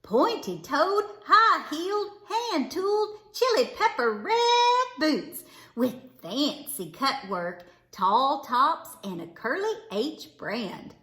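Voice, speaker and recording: A woman reading a children's story aloud with theatrical, deliberate phrasing. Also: high-pitched, sing-song, bright, forceful tone. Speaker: storybook reader. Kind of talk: expressive children's read-aloud narration. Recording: roomy, echoing indoor sound.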